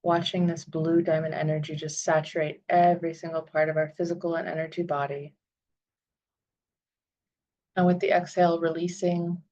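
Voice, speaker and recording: A woman leading a guided visualization meditation, speaking in soothing, deliberate phrases with long pauses. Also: sits low, a little mellow, dull audio.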